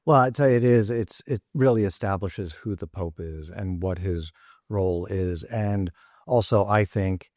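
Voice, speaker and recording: A man with a fairly low voice talking in a relaxed podcast conversation. He thinks aloud, restarting phrases and trailing off. The sound is dry and close. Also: dry recording. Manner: thoughtful, halting, many restarts. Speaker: podcast panelist. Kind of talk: casual discussion. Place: studio in Rome.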